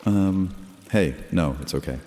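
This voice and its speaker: A man's voice: sits low, unhurried, dark tone, slight background noise. A man playing a cocky, carefree, flirtatious vampire in an immersive fantasy audio drama, teasing and lazily seductive. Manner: teasing, lazy, seductive, flippant. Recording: long reverb, music bed, water sounds.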